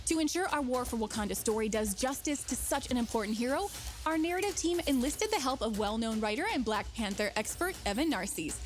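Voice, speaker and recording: A woman's voice: fairly high pitch, lively intonation, a little fast, soft background music. A woman presenting scripted promotional narration as an announcer for a showcase.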